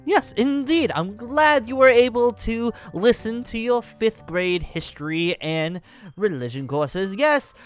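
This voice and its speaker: A man's voice: high-pitched, clean tone. A man playing a boastful, jovial ancient dragon slayer wizard in a theatrical, formal manner for an improvised Minecraft roleplay. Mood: amused, explaining. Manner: theatrical, grandly formal, chuckling. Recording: background music under the voice.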